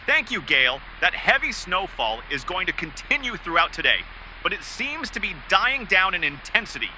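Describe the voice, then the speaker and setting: Bright, high-voiced actor as male weatherman. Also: very animated, normal speed, slight background noise, exaggerated voice, poor audio. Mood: businesslike. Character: brisk male TV weather forecaster. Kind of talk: scripted weather forecast.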